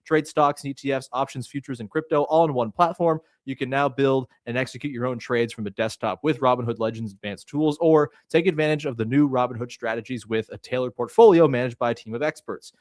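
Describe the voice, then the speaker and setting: A man's voice: medium pitch, dry recording, studio quality. A man, speaking as a sports podcast host. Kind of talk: sports podcast talk and sponsor reads.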